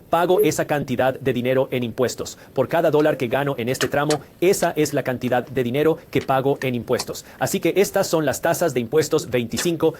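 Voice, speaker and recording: A man's voice: a little high, a little mellow, a little hiss or hum. A man giving a methodical finance lesson, walking through tax brackets and figures step by step. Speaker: finance educator.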